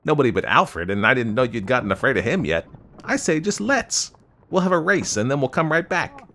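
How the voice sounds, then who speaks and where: Casting: brash young storybook gentleman played by a male reader, boyishly eager, teasing, pitched up over faint noise. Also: sing-song, fairly quick. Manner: direct, teasing, scornful of caution. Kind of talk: dramatised storybook reading.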